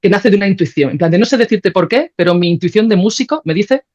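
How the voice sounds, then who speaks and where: A woman's voice: medium pitch, expressive, fast talker, a little mellow, highs cut off. A woman with an accent from Spain talking reflectively and informally in an interview, thinking aloud and often checking in with little tag questions. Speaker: podcast guest, composer and philosopher. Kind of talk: spontaneous, reflective interview conversation.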